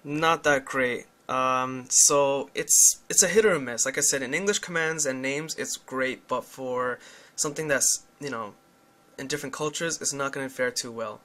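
A young man reviews a phone app in a casual, explanatory way. His voice is bright and forward, and he speaks candidly as he walks through each step. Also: mid-range voice, normal speed. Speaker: tech reviewer.

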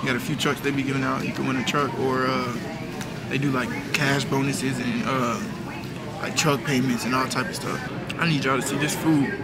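A man's voice: medium pitch, flat delivery, moderate pace, over a noisy crowd. A young man vlogging casually to a handheld camera in a truck cab and parking lot, chatty and slangy, talking straight to his viewers. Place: truck cab, then parking lot and a large indoor building. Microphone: handheld camera at arm's length.

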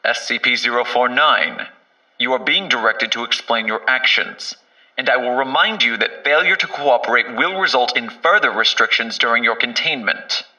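A man's voice: mid-range voice, lively intonation, moderate pace, pushed and bright, far from the mic, rough recording. A man playing a stern, accusing doctor who interrogates a contained creature in an acted drama. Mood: stern, official.

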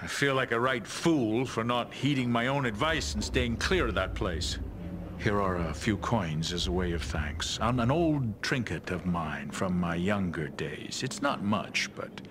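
Deep-voiced, earnest retired thief. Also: male voice, expressive, a little slow, background noise. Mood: earnest, helpful. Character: weathered retired thief, earnest, helpful, regretful. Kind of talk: fantasy video game dialogue.

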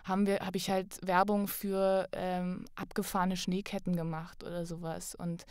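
A woman's voice: lowish voice, level tone, normal speed, a little mellow, clean tone, no room sound, clean studio sound. A woman telling personal anecdotes as a casual podcast guest, often correcting herself as she goes.

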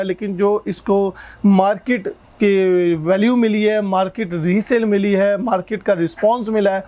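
A man in his thirties with a hoarse throat, explaining camera specs and prices one by one in a shop, recorded on a lapel mic. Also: high-pitched. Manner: steady explanatory, lists features one by one.

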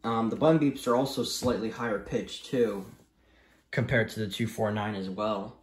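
Echoey casual man, halting delivery. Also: fairly low pitch, steady tone. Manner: halting, repetitive, emphatic on "literally". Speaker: vlogger reviewing gadgets. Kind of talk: casual unscripted product review. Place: indoors in a room at home. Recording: roomy, echoey home recording.

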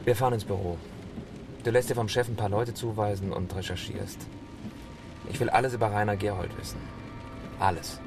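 A man's voice: fairly low pitch, steady tone, brisk, pauses often, over music, close to an everyday voice. An actor playing a terse, commanding male police detective in a German radio crime drama, speaking in curt, blunt phrases.

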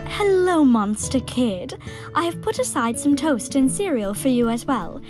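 Woman as a high, lilting motherly monster. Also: lively intonation, unhurried, over music. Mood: motherly, kind. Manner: formal, careful phrasing, kindly. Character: gentle, motherly, proper female monster. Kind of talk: audio drama acting.

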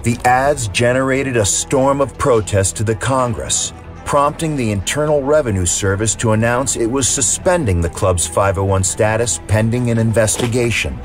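A man's voice: mid-range voice, normal speed, soft background music. A man narrating a scripted history documentary.

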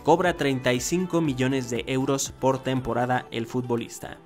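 A man's voice: medium pitch, fairly even intonation, normal speed, darkish tone. A man in his thirties narrating a scripted football countdown as an online video host, with emphatic presenter-like delivery. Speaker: YouTube host. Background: background music.

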